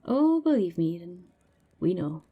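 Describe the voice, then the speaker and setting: Husky, blunt huntress goddess played by a woman. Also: lowish voice, very animated, normal speed, phone-quality sound. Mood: dryly exasperated. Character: blunt, dry Norse huntress goddess, enthusiastic about weapons. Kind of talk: ASMR roleplay audio drama.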